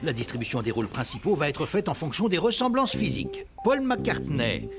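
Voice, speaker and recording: An actor voicing a pompous, solemn male schoolteacher in an animated cartoon, with theatrical delivery over music. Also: a little high, brisk. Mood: solemn, grandiose. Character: pompous male schoolteacher announcing his own play. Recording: music playing behind.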